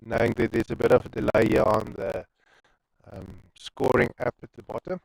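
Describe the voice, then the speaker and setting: A man's voice: fairly low pitch, normal speed, creaky, a little mellow, no room sound, poor audio. A man with an Afrikaans accent giving chatty live commentary on an amateur cricket match, wandering into rambling asides between balls. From South Africa.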